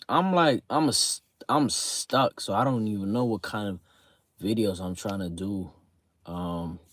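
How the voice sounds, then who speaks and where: A man's voice: on the low side, no echo. A man streaming live, chatting casually with viewers about a game league, rambling with lots of fillers and repeated words, his throat sore. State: sore throat, voice getting low.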